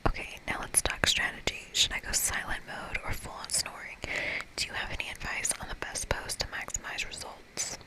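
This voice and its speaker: An ASMR reader plays an intense, overeager monster girl from a visual novel, desperate to belong. Every word comes in a breathy whisper, pushy and earnest. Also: moderate pace, close to an everyday voice, low-quality recording. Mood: excited, earnest. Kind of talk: visual novel game dialogue read as ASMR.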